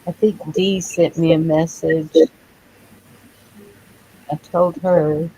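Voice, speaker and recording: A woman chatting casually in a halting way, often trailing off. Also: sits low, flat delivery, dark tone, breathy edge, low-quality recording. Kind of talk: casual chat.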